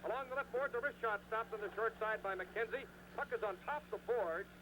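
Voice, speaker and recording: A man's voice: high-pitched, a little fast, background noise. A man calling live ice hockey play-by-play on 1970s radio, in clipped running phrases that rise on shots, on an old broadcast recording.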